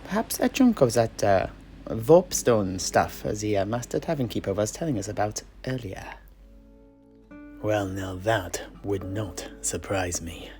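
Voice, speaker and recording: Slow, creaky-voiced male fantasy storyteller. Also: on the low side, animated, darkish tone, soft background music. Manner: dramatic, halting, measured.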